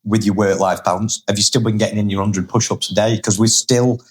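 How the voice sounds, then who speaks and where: A man, croaky from a cold, co-hosting a casual chat show with a wry, teasing manner. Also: fairly low pitch, fairly even intonation, brisk. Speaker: podcast co-host.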